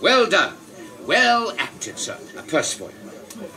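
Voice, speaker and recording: A man's voice: fairly high pitch, wildly swooping pitch, takes it slowly, bright, forceful tone, faint music behind, exaggerated voice. An actor playing a genial, talkative king of England in a radio play, with a theatrical, condescending manner. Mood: magnanimous, grand. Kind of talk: broadcast radio play acting.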